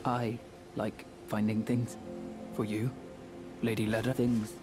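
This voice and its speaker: A childlike, friendly fantasy game character. He talks low and very slowly in short halting phrases, with little melody, over loud music. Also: level tone. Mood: placid, friendly. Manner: halting short simple sentences.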